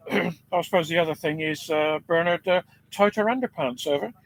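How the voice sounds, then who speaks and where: Man, ham radio operator, deep-sounding voice, easygoing with fillers, slightly high pitch. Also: moderate pace, poor audio. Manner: relaxed, hesitant with fillers. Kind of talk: ham radio contact chat. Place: outdoor field station on a generator.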